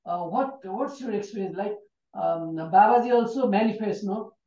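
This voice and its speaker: Interview host: man, English, mellow tone, fragmented phrasing, dull roomy sound. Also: high-pitched. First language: Nepali. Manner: halting, fragmented non-native English. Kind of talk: casual interview questioning. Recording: muffled, distant, echoey room.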